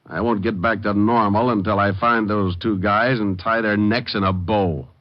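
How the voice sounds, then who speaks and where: A man's voice: lowish voice, steady tone, moderate pace, close to an everyday voice. A man playing a tough, sardonic, wisecracking private detective in an old radio drama, with a dry, flippant delivery. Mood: wry narration. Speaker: lead actor and narrator. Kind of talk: 1940s-50s radio detective acting. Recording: dull vintage radio recording, faint music.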